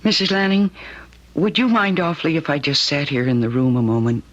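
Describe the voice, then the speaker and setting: A woman's voice: low voice, animated, fairly quick. An actress playing a weary, wistful married woman in an earnest, sentimental American radio drama style. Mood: wistful, tender. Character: weary, wistful married woman attached to her childhood home. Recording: noisy, dull old radio recording.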